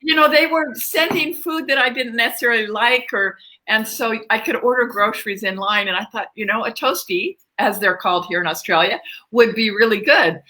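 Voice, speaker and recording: A woman's voice: on the high side, moderate pace, pushed and bright, rough recording. An older woman, upbeat, telling personal stories conversationally as a guest author.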